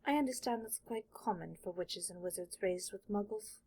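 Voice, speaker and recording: A woman's voice: mid-range voice, moderate pace, darkish tone, natural voice. A woman voicing an aloof, precocious eleven-year-old wizard boy in an audiobook, speaking in formal, matter-of-fact, condescending sentences. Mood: brisk, reassuring. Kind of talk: audiobook character voice.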